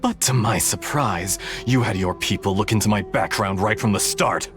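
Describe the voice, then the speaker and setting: An actor playing a cold, scheming male scientist villain who speaks in a smooth, coolly mocking way. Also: on the low side, moderate pace, music in the background, natural voice. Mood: smug. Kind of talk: game cutscene voice acting.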